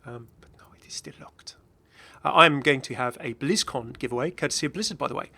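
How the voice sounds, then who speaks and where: A man hosting a gaming video with casual, excited reaction commentary in a rambling, exclamatory way. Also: medium pitch, a little fast, vocal fry, no room sound. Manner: rambling, exclamatory, chuckling asides.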